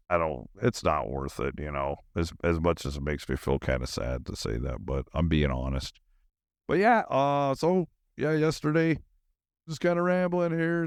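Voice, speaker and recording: A middle-aged man with a low-pitched voice hosts a podcast in a rambling, personal style. His talk is informal and slangy, meandering from thought to thought. The sound is dry, with very little room to it. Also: no room sound. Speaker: podcast host. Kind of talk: rambling casual personal monologue.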